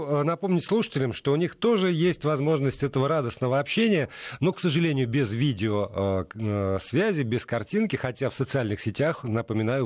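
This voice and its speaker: A man presenting a live radio show in a conversational, somewhat halting and informal way. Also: medium pitch, a little mellow, dry recording, clean studio sound.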